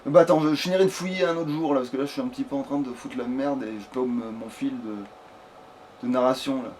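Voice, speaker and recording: A man's voice: medium pitch, steady tone, fast talker, darkish tone, slight background noise. A man giving casual, rambling gameplay commentary as a let's play host, swearing freely and talking crudely.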